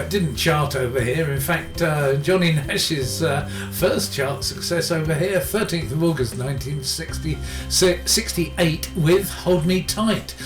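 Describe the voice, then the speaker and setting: Male soul radio presenter, roomy sound. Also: mid-range voice. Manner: hesitant, informal, detail-focused. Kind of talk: casual music radio presenting with record facts. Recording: roomy sound with music behind. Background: music.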